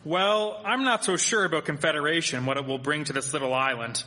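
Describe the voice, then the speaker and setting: A skeptical, blunt townsman of the 1870s, acted by a man in a live radio play. His voice is forceful and fairly high, ringing in an echoey hall. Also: fairly quick. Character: grumbling, skeptical townsman in 1873, fond of parties. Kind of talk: live radio drama acting.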